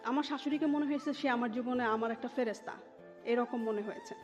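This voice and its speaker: High-pitched Bangladeshi woman telling her story. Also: fairly quick, a little mellow. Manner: mixes English words and phrases into talk. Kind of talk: personal storytelling in an interview. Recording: muffled audio with music underneath. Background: music.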